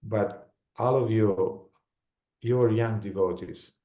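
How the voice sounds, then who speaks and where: A man with a Romanian accent giving a spiritual lecture in short, halting phrases, pausing for an interpreter. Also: fairly low pitch, steady tone, dark tone, echoey room. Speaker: visiting lecturer. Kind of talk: lecture in English with consecutive translation.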